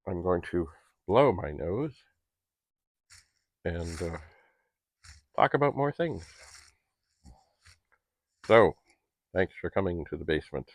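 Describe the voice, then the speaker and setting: A man's voice: low voice, animated, slow, gravelly, pauses often, no echo. A middle-aged man talking casually to camera in a rambling, chatty, politely old-fashioned way, as a home vlogger. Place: basement at home.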